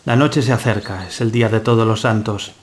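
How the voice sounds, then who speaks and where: A man's voice: on the low side, steady tone, brisk, lightly acted. Man acting solemn young nobleman. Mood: commanding. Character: young Castilian nobleman and hunter, solemn, courtly storyteller. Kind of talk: dramatised gothic audio story.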